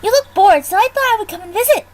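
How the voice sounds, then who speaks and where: A woman's voice: very high-pitched, animated, fast talker. A woman voicing an exuberant, squealing young cartoon pony in a playful fan fiction reading. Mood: cheery greeting. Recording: noisy amateur online stream.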